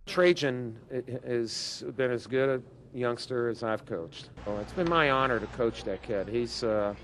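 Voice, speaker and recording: Coach guy, heartfelt and halting, mid-pitch, traffic noise, off mic. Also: fairly bright tone. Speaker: basketball coach. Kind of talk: interview clip.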